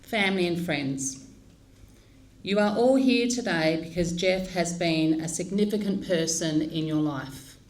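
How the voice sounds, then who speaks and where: A woman leading a funeral service, speaking in a slow, measured and solemn way. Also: on the low side. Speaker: funeral celebrant.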